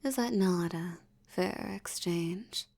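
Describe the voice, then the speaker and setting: Woman doing a rich bossy vampire lady, breathy and creaky, super slow, teasing and possessive, intimate roleplay audio. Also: on the low side, a little mellow, exaggerated voice. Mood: coolly menacing, bargaining. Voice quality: breathy, often dropping toward a whisper. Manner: slow, teasing, commanding, condescending. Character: dominant, possessive, seductive wealthy female vampire.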